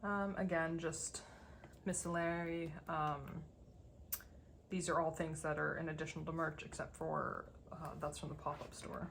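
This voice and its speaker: A woman with a low voice that sounds a little dry and gravelly talks through her collection in an unscripted, casual way. She wanders from thought to thought, reasoning aloud as she sorts. Some background noise sits behind her voice. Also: fairly even intonation, measured pace, a bit bright. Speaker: collector giving a binder tour. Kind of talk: casual unscripted show-and-tell commentary.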